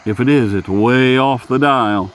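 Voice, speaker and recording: A man's voice: mid-range voice, unhurried. A man hosting a casual, unscripted radio review in a folksy, jokey, rambling manner. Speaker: host of radio review videos.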